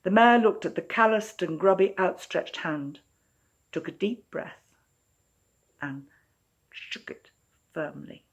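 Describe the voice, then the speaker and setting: A woman author presenting and reading her own story in an expressive storytelling style. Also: lowish voice, takes it slowly, pauses often, rough recording.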